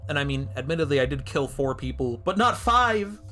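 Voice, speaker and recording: A man streaming a game with playful running commentary. His voice is bright and very animated, given to theatrical asides, over soft background music. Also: medium pitch, fairly quick. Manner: theatrical, jokey, self-interrupting. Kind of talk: playful live playthrough commentary.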